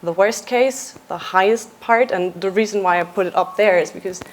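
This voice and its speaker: A young adult woman from Austria, a software engineer giving a conference talk in a casual, lightly joking way with frequent uhs. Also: mid-range voice, moderate pace, rough recording. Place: conference stage.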